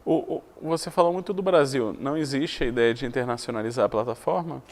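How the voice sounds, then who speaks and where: A man's voice: mid-range voice, fast, a little mellow. A man hosting a business interview, asking direct questions with hesitant restarts. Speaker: interviewer, host.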